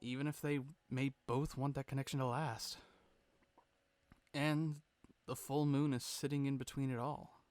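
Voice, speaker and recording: A man's voice: mid-range voice, little pitch movement, measured pace, pauses often, a little mellow, dry recording. A man co-hosting a scripted commentary, casual and sweary in his asides, with music playing behind him. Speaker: video co-host. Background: music bed.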